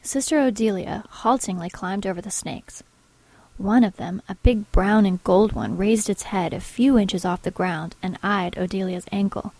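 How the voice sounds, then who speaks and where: Actress as an earnest nun, close and dry. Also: female voice, medium pitch, darkish tone, no echo, close to an everyday voice. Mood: grave narration. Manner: measured storytelling, primly earnest. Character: earnest, proper nun recounting strange events. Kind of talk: dramatic narration in audio drama.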